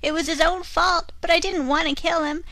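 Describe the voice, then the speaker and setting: A woman with a Canadian accent voicing a hungry, whiny wooden puppet boy, pleading in a high voice. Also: steady tone, moderate pace, sharp tone. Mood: wailing.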